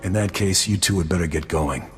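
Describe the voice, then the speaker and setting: Man acting a very deep-voiced, cocky hired gun. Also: fairly quick, faint music behind, lightly acted. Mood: matter-of-fact. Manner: confident, terse. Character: cocky, cool male hired gun hero. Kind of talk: video game cutscene voice acting.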